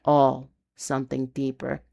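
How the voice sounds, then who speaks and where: A woman narrating a scripted noir fantasy story in an intense, deliberate, confessional manner. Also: rumbling low, monotone, vocal fry, warm tone.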